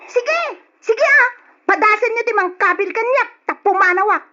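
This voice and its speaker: A headstrong young woman of twenty pleads and defies her father for love, as an actress plays her. Her voice is high. It is heard through a dull, lo-fi old radio recording. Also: normal speed, pushed and bright. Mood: defiant. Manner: pleading, defiant. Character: twenty-year-old daughter, headstrong and lovestruck. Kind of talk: old radio drama acting.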